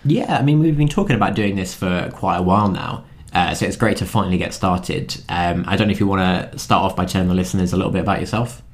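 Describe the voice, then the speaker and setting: A young man, co-hosting a podcast in a relaxed, joking way with lots of fillers. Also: sits low, fast talker. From London. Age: 20s. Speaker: podcast co-host. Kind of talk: casual podcast chat.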